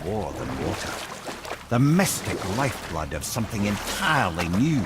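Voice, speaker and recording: An actor playing a wise, wry old talking head with a Scottish accent, telling tales theatrically in a video game. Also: male voice, lowish voice, big pitch swings, moderate pace. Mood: solemn, dramatic. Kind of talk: dramatic storytelling in a video game. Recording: game audio with clear water and boat noise.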